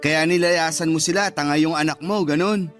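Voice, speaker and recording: Casting: man as cheeky young kid brother, light high male voice, taunting, faint music behind. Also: steady tone, brisk, fairly bright tone, natural voice. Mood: questioning, needling. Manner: taunting, questioning. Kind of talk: radio drama acting.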